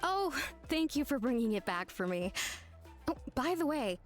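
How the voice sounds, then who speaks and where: A woman's voice: high-pitched, big pitch swings, moderate pace, faint music behind. A woman voicing a friendly, helpful young woman in a video game, polite in manner.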